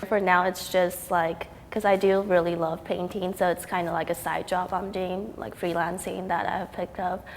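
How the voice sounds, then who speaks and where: Young woman from Taiwan, low gravelly voice, laid-back interviewee, echoing hall. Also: normal speed, few pauses. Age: young adult. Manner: relaxed, frequent ums and likes. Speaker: student artist interviewee. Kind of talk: casual interview talk. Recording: reverberant hall with faint noise.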